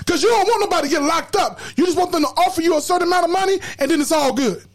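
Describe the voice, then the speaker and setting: A woman's voice: very high voice, rapid-fire. A woman hosting a podcast in casual, colloquial talk, emphatic and preachy, repeating words when fired up. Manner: colloquial slang. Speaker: podcast host.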